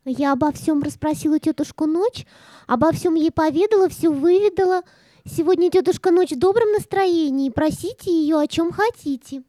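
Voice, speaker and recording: A woman's voice: very high-pitched, normal speed, dark tone, no echo. A woman playing a sly, fawning female cat in a fairy-tale audio play, speaking in an ingratiating way. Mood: sly, confiding.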